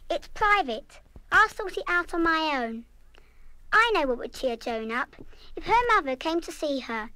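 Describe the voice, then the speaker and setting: A woman's voice: high voice, pushed and bright, dry recording. A woman playing an earnest, remorseful, kind-hearted but defiant schoolgirl in an audio radio play. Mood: troubled, resolute. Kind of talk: full-cast audio radio play acting.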